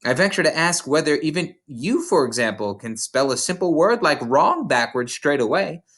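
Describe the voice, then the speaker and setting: A reader voicing a gentle young female teacher who answers back politely but firmly. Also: mid-range voice, expressive, moderate pace. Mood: defiant.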